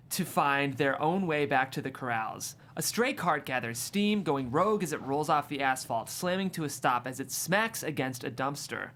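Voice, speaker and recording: A man's voice: on the high side, fairly bright tone, slight background noise. A man hosting a table read show, chatting and narrating script directions in a studio.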